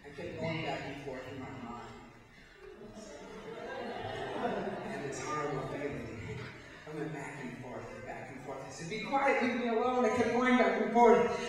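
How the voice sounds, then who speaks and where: A man's voice: medium pitch, close to an everyday voice. A man playing a tormented murderer who broods and repeats himself in a dramatic amateur stage monologue. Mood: tormented, agitated. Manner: brooding, agitated, repetitive. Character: haunted, tormented male murderer confessing his night walk. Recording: echoey hall, far off, noisy.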